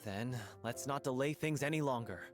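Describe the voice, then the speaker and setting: With music behind him, a mid-pitched man voiced by an actor comes across as composed and serious. He talks in a measured, matter-of-fact way, as a businesslike game character. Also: natural voice. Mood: decisive, calm. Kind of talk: video game voice acting. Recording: music under the voice.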